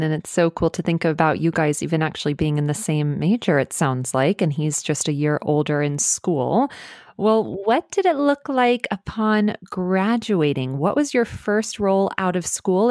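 A woman's voice: lowish voice, moderate pace, a little mellow, no room sound, studio quality. A woman hosting a casual interview podcast, reacting effusively with plenty of exclamations. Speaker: podcast host.

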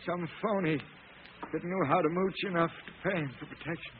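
An actor playing a frightened, pleading street beggar who stammers in rough street speech in a 1940s radio drama. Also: male voice, on the high side, steady tone, a little fast, natural voice. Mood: terrified, pleading. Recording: tinny, noisy vintage radio recording.

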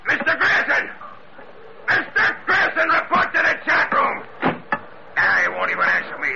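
An actor playing an old, stubborn, drunken sea captain, rambling and halting in salty seafaring talk, in 1940s radio drama style. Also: male voice, extremely high pitch, very animated, vocal fry, exaggerated voice. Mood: outraged. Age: older. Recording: noisy, muffled old radio drama recording.

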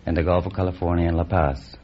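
A man in his late thirties with a Spanish accent, answering quiz show questions in earnest, halting, formal English. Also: sits low, little pitch movement. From Spain. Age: late 30s. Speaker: quiz contestant. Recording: muffled old radio recording, faint noise.

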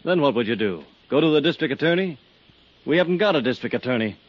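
An American actor playing a wary, resigned witness who speaks with weary, evasive caution in a 1950s radio drama. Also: male voice, medium pitch, expressive, quick pace, slightly rough, dry recording. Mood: fearful, resigned. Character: wary, resigned male building witness afraid to testify. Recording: muffled 1950s radio recording.

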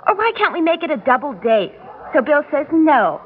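An actress in a classic radio drama playing a chatty, pushy young office worker with a gossipy, matter-of-fact manner. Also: female voice, high voice, very animated, moderate pace, a little hiss or hum. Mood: complaining, gossipy. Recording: tinny vintage radio recording.

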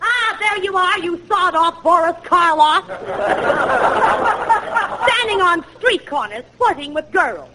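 A loud audience and heavy noise surround this old radio comedy recording. a brassy girlfriend, scolding and insulting in comic style. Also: very high-pitched, animated, unhurried, long pauses, over a noisy crowd. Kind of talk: 1940s radio comedy acting. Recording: noisy old radio before live audience.

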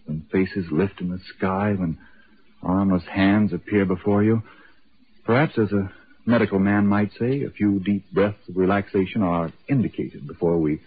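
A man narrating as host of a 1940s American radio horror series, measured, formal and ominous. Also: sits low, husky. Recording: muffled, slightly noisy 1940s radio broadcast.

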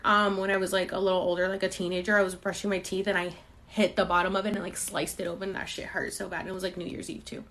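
Young woman, from Puerto Rico, rambling live-stream chat, mid-pitched voice, lots of ums and likes. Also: fairly bright tone. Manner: loose and chatty, many ums and likes, swears casually. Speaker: podcast host answering live comments. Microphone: phone during a live stream.

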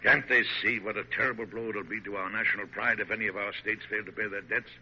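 An actor playing a genial, bumbling yet dignified middle-aged president in old-time radio drama, animated and given to repeating phrases for emphasis. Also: male voice, fairly low pitch, quick pace. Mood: troubled. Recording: dull, noisy vintage radio recording.

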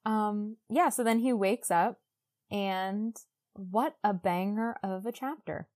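A woman's voice: medium pitch, slow, a little mellow. Irreverent, profane woman chatting on a podcast. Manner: irreverent, profane, jokey, acting out imagined quotes. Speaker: podcast co-host. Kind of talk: casual chat, book discussion podcast.

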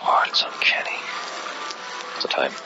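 An actor playing an uneasy, hesitant gentleman drawn into the occult, in dramatic old-time radio horror style. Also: moderate pace, in a whisper, natural voice. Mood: fearful, hushed. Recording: dull old radio recording, music behind.

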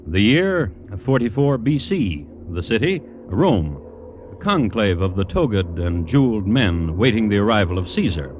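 A man narrates in old radio style with a low, varied voice. His delivery is dry and measured, over a hissy vintage recording. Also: sing-song, a little mellow, dull audio. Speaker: host and narrator. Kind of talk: 1950s radio scripted narration.

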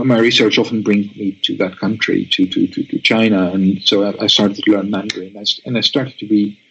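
A man's voice: medium pitch, fairly bright tone, husky, highs cut off. A man whose first language is Dutch, a guest researcher answering interview questions thoughtfully, with many restarts and tag questions.

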